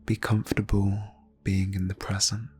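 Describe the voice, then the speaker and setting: Male ASMR meditation guide: very breathy, low, slow and soothing, faint music underneath. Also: little pitch movement. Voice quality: breathy, hushed. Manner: slow, soothing, deliberate phrasing with long pauses. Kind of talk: ASMR guided meditation, soft-spoken, often near whisper.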